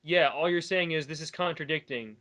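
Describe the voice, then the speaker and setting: A man's voice: a little high, brisk, sharp tone. A man giving casual, mocking commentary in a sarcastic, argumentative and exasperated way. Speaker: commentator reading and rebutting online comments.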